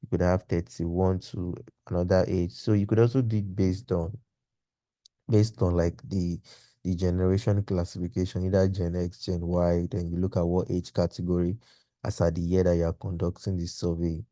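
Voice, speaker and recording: Male instructor, low-pitched, very soft dark tone, didactic, explanatory, dry close sound. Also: flat delivery, moderate pace, dry recording. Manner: didactic, poses example questions. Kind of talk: informal video lecture, explanatory.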